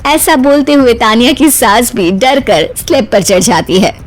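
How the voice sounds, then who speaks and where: A woman's voice: a little high, a little slow. A woman narrator reading a scripted comic cartoon story as storytelling.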